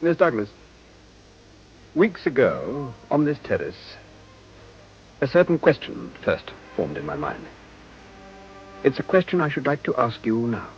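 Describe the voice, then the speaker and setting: Formal English gentleman suitor, slightly muffled. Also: mid-range voice, big pitch swings, measured pace, pauses often, a little hiss or hum. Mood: solemn, ardent. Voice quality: stiffly formal diction. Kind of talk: period radio drama acting.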